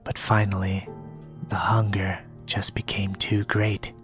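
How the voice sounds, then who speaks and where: A young man reading a storybook in a soft-spoken, partly whispered ASMR style, with a gentle, measured delivery. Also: low-pitched, completely flat delivery. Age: young adult. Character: polite soft-spoken young male reader, quietly eerie. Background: soft music.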